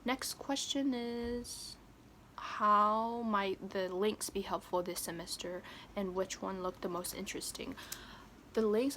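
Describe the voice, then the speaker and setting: Young woman from American Samoa, student, casual and halting, faint room noise. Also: medium pitch, fairly bright tone. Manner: hesitant, many ums, plain and friendly. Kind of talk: casual video assignment answers. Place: home in San Francisco.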